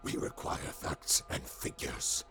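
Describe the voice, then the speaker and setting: A man's voice: slow pace, bright, forceful tone, faint music behind. A man playing a cold machine adviser to a war commander in an audio drama, speaking in clipped, analytical, formal phrases. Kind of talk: scripted audio drama acting.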